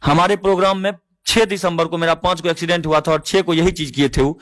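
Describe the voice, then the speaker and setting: A man's voice: on the high side, fairly even intonation, quick pace. A man with a Bihari accent arguing insistently on a recorded phone call, often repeating his phrases as an event organizer. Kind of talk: argumentative phone conversation.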